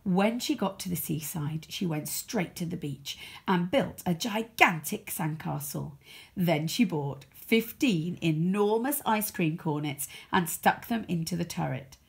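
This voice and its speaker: A woman reading a children's story aloud as a storyteller, expressive, with dramatic emphasis. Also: fairly low pitch, measured pace, gravelly, slightly rough.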